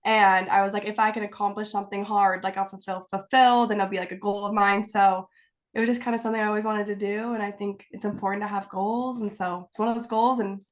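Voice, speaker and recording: A woman's voice: mid-range voice, steady tone, fast talker. A woman guest on a video call, slightly stuffy from a cold, talking in a bubbly, giggly, rambling way full of "like"s. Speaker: guest, content creator. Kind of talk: casual video-call interview. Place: home, indoors.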